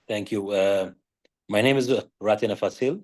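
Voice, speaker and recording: A man's voice: lowish voice, creaky, a little mellow. A man with an Ethiopian accent introducing himself on a virtual business panel, with frequent hesitations. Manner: hesitant, frequent filled pauses. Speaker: panelist, company founder. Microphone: video call.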